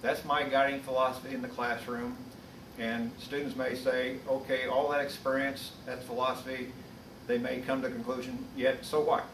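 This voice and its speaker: A man's voice: mid-range voice, flat delivery, normal speed. Roomy, distant-miked man instructor. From US Midwest. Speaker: college business instructor. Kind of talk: prepared introduction to camera. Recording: distant mic, roomy echo, noisy background.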